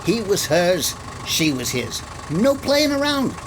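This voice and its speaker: Someone acting folksy chatty small town local, voice bouncing around, engine rumbling behind, old radio show style. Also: sing-song, slow pace. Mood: gossipy, insistent. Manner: folksy ungrammatical speech with chuckles. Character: gossipy rustic small-town local, folksy, eager, cheerful. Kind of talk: 1950s radio detective drama acting. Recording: vehicle engine noise behind the voice.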